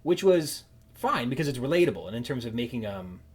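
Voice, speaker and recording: A man with a husky, slightly rough voice hosts a podcast interview. He talks in a conversational way, halting and thoughtful, working through long sentences and repeating words as he goes. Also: mid-range voice, sing-song. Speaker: podcast host.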